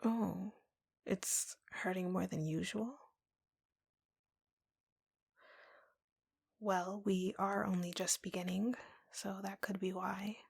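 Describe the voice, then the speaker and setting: A woman voicing a lovesick, obsessive tattoo artist in an intimate roleplay audio, softly and breathily, in a slow, confiding way. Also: mid-range voice, steady tone, dry recording, clean studio sound. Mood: soothing, focused. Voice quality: soft, breathy, whispery. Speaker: roleplay voice performer.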